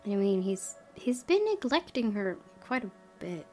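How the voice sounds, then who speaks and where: A woman with a mid-pitched voice does let's play commentary and reads story text aloud. Game music is clearly audible behind her. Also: very animated, unhurried, dark tone. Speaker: gaming video host.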